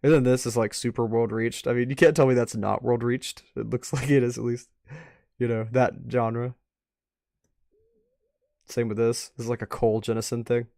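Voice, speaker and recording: A man's voice: mid-range voice, steady tone, normal speed, creaky, mellow, no room sound, studio quality. A man giving casual, off-the-cuff commentary as a stream host, rambling and musing aloud. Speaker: host of a live art review stream.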